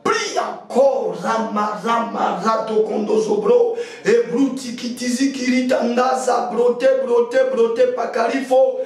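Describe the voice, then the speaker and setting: An impassioned male preacher with a very high-pitched voice leads prayer with commanding, repetitive urgency. He often pushes into shouting, and his voice rings with heavy echo, picked up at a distance from the microphone. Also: flat delivery, slow, hardly any pauses. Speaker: preacher leading a prayer meeting. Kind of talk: fervent exhortation and prayer, insistent repetition. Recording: very echoey hall, distant from mic.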